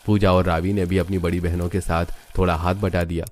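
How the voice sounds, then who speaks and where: Male narrator, low register, scripted storytelling for a family cartoon. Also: level tone, brisk.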